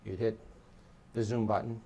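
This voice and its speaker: A man's voice: fairly low pitch, level tone, moderate pace, creaky, warm tone. A man explaining equipment to staff in an informal hands-on demonstration, step by step and often repeating his phrases. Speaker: installer training staff on an intercom system. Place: indoor room at a workplace. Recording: noisy room, ambient background hiss.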